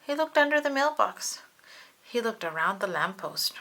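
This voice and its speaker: Woman, storybook narrator, children's read-aloud, bright tone, very animated pitch, expressive delivery. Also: normal speed. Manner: animated, acts out character dialogue.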